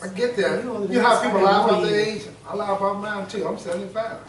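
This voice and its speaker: A man's voice: high voice, steady tone, quick pace. An older man giving an informal, joking health talk to a group, folksy and prone to repeating himself. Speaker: wellness speaker. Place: indoor room with an audience. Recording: roomy, from a distance, with a crowd.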